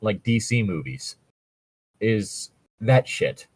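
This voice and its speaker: A man's voice: on the low side, animated, slow pace, a little mellow, husky, dry recording. A man co-hosting a comedic fan fiction read-aloud, chatting casually in a sweary, exasperated, sarcastic way, close to the microphone. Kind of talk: casual chat mixed with mocking read-aloud.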